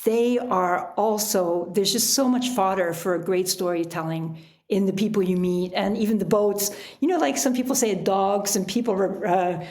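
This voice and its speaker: A woman with a medium-pitched voice talks as a guest writer in an interview. Her delivery is casual and conversational, with an animated way of telling stories. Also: normal speed. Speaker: podcast guest, adventure writer.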